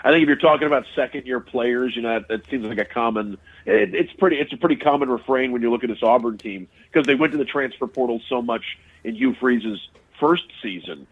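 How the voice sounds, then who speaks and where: A man's voice: medium pitch, a little fast, few pauses, husky. A male sports broadcaster calls in as a guest, his voice thin and narrow the way a phone line makes it. He talks in a middle register and picks teams apart analytically. He often stops and restarts his sentences. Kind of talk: casual sports talk interview.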